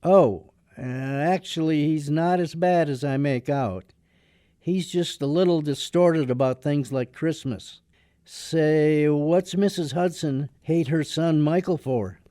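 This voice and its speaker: A man's voice: a little high, slow pace, warm tone, no echo, clean studio sound. A man playing a decent, wry and somewhat hesitant young man in a radio drama. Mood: earnest, hesitant. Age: young adult. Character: decent, gloomy young man under his father's thumb. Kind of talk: radio drama acting and narration.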